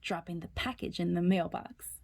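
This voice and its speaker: A woman storyteller reading a children's picture book aloud in a dramatic, suspenseful way, sometimes hushing her words. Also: on the low side, normal speed, creaky.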